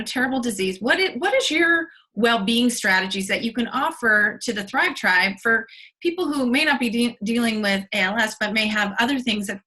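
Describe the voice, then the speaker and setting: A woman hosts an interview in a very bright voice at a middle pitch. She is effusive and circles into her questions, restarting phrases as she goes. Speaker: interviewer, host. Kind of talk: conversational interview.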